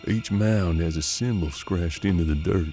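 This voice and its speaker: A man playing a seasoned, pragmatic bounty hunter in a western audio drama, with measured, folksy frontier talk. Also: sits low, mellow, faint music behind, close to an everyday voice. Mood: intent, businesslike. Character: seasoned male bounty hunter detective of 1870s Kansas, steady, pragmatic.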